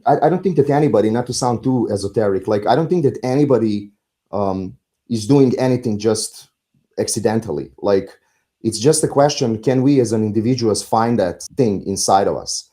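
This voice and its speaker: A middle-aged man with a Serbian accent, talking reflectively as an interview guest, halting and philosophical as he searches for words. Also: lowish voice, warm tone. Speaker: podcast guest, musician.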